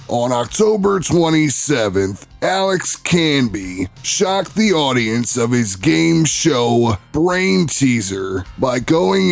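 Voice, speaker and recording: A man playing a brash, skeptical radio show host in an audio drama, narrating in a drawn-out, wry, showy way. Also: mid-range voice, gravelly, full character voice.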